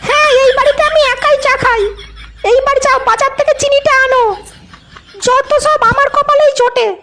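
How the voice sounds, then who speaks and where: A woman voicing a sharp-tongued, nagging old housewife and grandmother in a comedy cartoon. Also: very high-pitched, steady tone, moderate pace, background noise.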